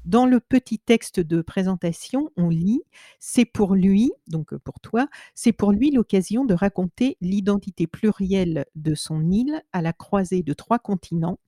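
A woman interviewer asking questions in a measured way, reading out short passages and using a few fillers. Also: mid-range voice, lively intonation, dark tone, no room sound, clean studio sound.

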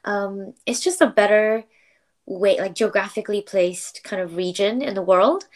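Young woman from malaysia, podcast guest, chatty, laughs a lot, says like constantly, middle pitch. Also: moderate pace. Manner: chatty, laughs often, many "like" fillers. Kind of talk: casual interview chat.

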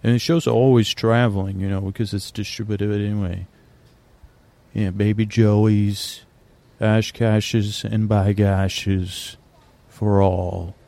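Man, sleep podcast host, mellow dark voice, meandering halting delivery, recorded on location. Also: fairly low pitch, flat delivery, creaky, dry recording. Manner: meandering, halting, with many restarts and fillers. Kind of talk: rambling bedtime podcast talk. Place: quiet corner of a busy airport.

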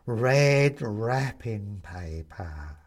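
Man voicing a slow, coaxing, sinister plaster skeleton. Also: fairly low pitch, lively intonation, exaggerated voice. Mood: coaxing, prying. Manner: drawn-out, coaxing, relishing words. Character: sly skeleton of plaster, feigning soothing friendliness, male.

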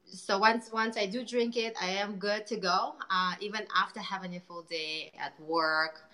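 A woman's voice: medium pitch, fairly even intonation, normal speed, pushed and bright. A woman entrepreneur chatting in an interview, talking loosely with many fillers and restarts. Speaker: guest entrepreneur. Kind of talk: casual interview chat.

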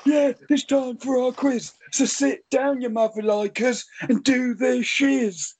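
A man's voice: very high-pitched, steady tone, takes it slowly, a little mellow, breathy edge, heavily acted. A man voicing a small, chatty, earnest elephant who stammers and fusses as he hosts a comedy podcast quiz. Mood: excited. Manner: stammering, pedantic.

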